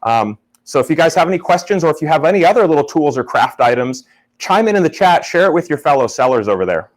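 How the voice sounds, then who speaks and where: A young man from Florida with a loud voice, teaching a casual live photography workshop and talking to viewers in the chat. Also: fairly high pitch, big pitch swings, quick pace. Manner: casual, upbeat, talks to chat. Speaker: photography instructor.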